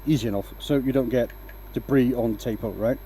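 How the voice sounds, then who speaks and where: A man's voice: medium pitch, fairly quick, slight background noise. Danish man talking through woodturning. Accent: light Danish, mostly a mix of English accents picked up online. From Denmark. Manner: relaxed, explains as he works, asks "right?" often. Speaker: woodturning livestream host. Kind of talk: casual live-stream talk while working. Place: workshop.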